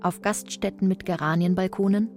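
A woman's voice: mid-range voice, fairly even intonation, a little fast, over music, natural voice. A woman narrating a radio drama as an observant, matter-of-fact storyteller.

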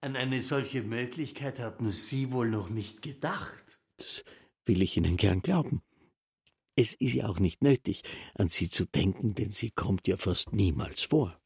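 A self-absorbed official from a radio play, rambling softly with quiet fervour. The voice is very husky and creaky, recorded dry and close. He explains at length, thoroughly pleased with himself. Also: on the low side, expressive, a little slow, dry recording, exaggerated voice. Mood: musing, explanatory. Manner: soft, self-absorbed, long-winded explaining. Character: rambling, self-satisfied male castle official, quietly fervent. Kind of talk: literary radio drama monologue.

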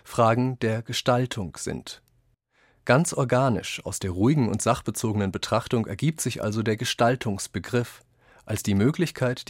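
A man reading quoted texts for a scripted radio essay, with deliberate, declamatory phrasing. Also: lowish voice, no room sound, studio quality. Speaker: reader of quotations in a radio essay.

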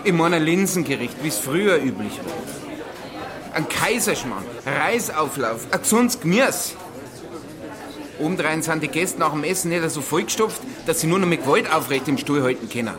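An actor with a Bavarian accent playing a stubborn, sarcastic bridegroom arguing in a dialect radio play. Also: male voice, a little high, lively intonation, normal speed, a crowd in the background, lightly acted. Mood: stubborn, insistent.

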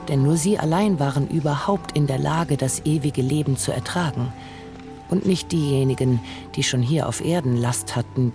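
Actor as a solemn narrator over music. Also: male voice, on the high side, natural voice. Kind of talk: scripted literary narration.

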